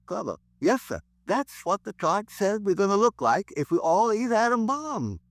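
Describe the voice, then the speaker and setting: A man's voice: high voice, big pitch swings, normal speed, dry recording. An actor playing an eccentric, rambling crank who earnestly preaches doom in a 1950s radio drama, hesitating as he goes. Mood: earnest, foreboding. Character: eccentric male crank author, rambling doomsday prophet.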